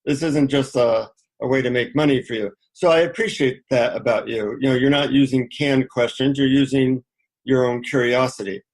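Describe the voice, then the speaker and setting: A middle-aged man talks as a guest author in an interview. His pitch sits in an ordinary middle range, and he tells personal stories informally, pausing as he goes. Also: steady tone, low-quality recording. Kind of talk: conversational interview, personal anecdotes.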